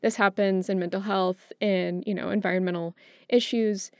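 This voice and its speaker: A woman's voice: mid-range voice, steady tone, moderate pace, no echo, clean studio sound. A young woman talking reflectively in a casual panel discussion, explaining her points with care. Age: young adult. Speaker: podcast panelist.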